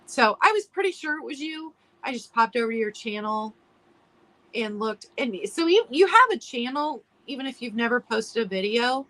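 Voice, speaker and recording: A woman hosting a live online vintage sale in casual chat, a little hoarse from allergies and clearing her throat now and then, greeting viewers by name as she describes items. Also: a little high, lively intonation, moderate pace, a bit bright. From United States.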